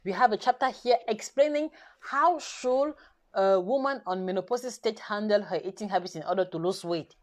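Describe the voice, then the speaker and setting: A woman whose first language is French, hosting a live nutrition session in careful, halting English full of restarts, coaxing her viewers directly. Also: mid-range voice. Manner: halting, self-correcting English with many restarts and fillers. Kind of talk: live stream chat to viewers.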